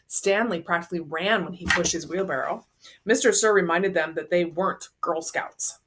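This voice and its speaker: A woman's voice: fairly low pitch, moderate pace, a bit bright, breathy edge. A woman narrating a children's novel, reading it aloud as a storyteller.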